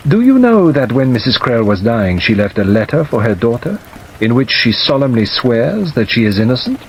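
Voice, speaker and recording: A courteous male detective, voiced by a male narrator, questions with polite persistence in a mystery audiobook. His pitch is medium and moves freely. Soft rain sounds behind him. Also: normal speed, a little hiss or hum, rough recording. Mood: exasperated. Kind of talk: audiobook character dialogue. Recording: steady rain sound over the reading.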